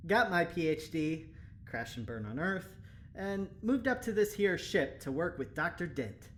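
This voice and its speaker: Cheerful engineer, low animated voice, rambling, breezy, audio drama, faint noisy ambience. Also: female voice, unhurried. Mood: friendly, apologetic. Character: cheerful, chatty, over-familiar spaceship engineer.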